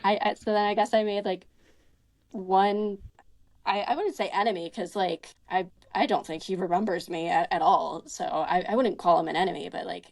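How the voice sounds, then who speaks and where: A woman's voice: medium pitch, steady tone, moderate pace, creaky, darkish tone. Hesitant young woman, full of fillers. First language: English. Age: young adult. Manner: hesitant, many fillers and restarts. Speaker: college student guest. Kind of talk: casual conversational interview.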